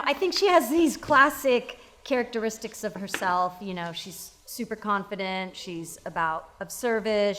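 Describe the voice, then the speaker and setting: Earnest, slightly low-voiced woman, faint noise behind. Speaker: voice actor interviewee. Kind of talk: casual panel interview.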